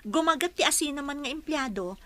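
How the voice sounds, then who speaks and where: A woman's voice: high-pitched, expressive, a little fast, fairly bright tone, a little hiss or hum. An Ilocano-accented woman playing a cheerful, modest training coordinator in a radio drama. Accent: Filipino (Ilocano).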